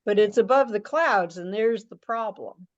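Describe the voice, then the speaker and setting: A woman's voice: mid-range voice, normal speed. A woman chatting informally and plainly in an online meeting, heard over a conference call. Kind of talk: casual meeting chat. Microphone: teleconference call. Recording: dull lo-fi conference call audio.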